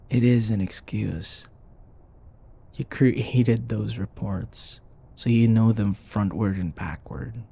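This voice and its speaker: A man's voice: lowish voice, vocal fry, dark tone, slightly rough, full character voice. A man acting a grumpy, sarcastic office rival who grudgingly cares, in an intimate, blunt, conversational roleplay style. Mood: firm, reassuring. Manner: exasperated, blunt, conversational, sighing.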